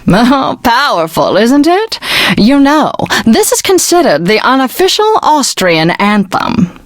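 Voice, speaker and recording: An actress playing a posh, flamboyant, tipsy classical radio DJ in a video game, with a gushing, affected, genteel manner. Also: female voice, a little high, big pitch swings, slow, no echo, clean studio sound, exaggerated voice. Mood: tipsy, airy. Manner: theatrical, affected, genteel and effusive.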